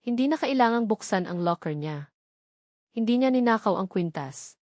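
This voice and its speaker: A woman voicing a calm, firm male co-worker defending someone in an audio drama story. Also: mid-range voice, moderate pace, no echo, clean studio sound, natural voice. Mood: calm, protective.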